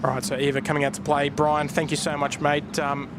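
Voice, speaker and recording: Australian man, fairly high voice, flat delivery, chatty host, outdoor noise with traffic. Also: brisk. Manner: chatty, thanks viewers, many fillers. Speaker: live stream host and plane spotter. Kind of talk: casual live commentary. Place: outdoors at an airport viewpoint.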